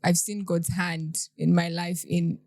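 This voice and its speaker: Mellow-voiced young woman from Uganda. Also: on the low side, little pitch movement, slow pace, dry recording, studio quality. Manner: earnest, often laughs, retells her own thoughts aloud. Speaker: guest. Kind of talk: casual interview chat.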